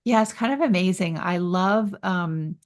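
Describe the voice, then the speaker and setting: A woman hosting a podcast interview, chatty and quick with asides, laughing easily. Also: fairly low pitch. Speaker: podcast host. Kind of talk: casual interview chat.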